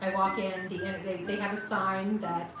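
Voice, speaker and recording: A woman's voice: mid-range voice, level tone, moderate pace, far from the mic, background noise. A woman hosting a casual livestream, rambling informally with exasperated asides. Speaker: livestream host. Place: indoors, hotel. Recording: very echoey room, away from mic, music behind.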